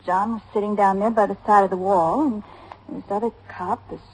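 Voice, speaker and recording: An actress playing a defiant, sassy suspect's wife in an old-time radio detective drama, talking tough, slangy and impatient. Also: female voice, medium pitch, steady tone, moderate pace, a little mellow, slightly rough, close to an everyday voice, low-quality recording. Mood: irritated, wary.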